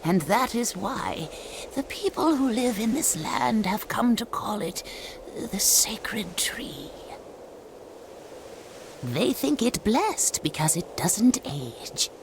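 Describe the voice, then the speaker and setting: An actress voicing a cryptic, solemn old woman fortune-teller in a game cutscene, speaking in a hushed, measured way. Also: medium pitch, very animated, noisy background. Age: older. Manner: slow, measured, mysterious. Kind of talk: video game cutscene dubbing.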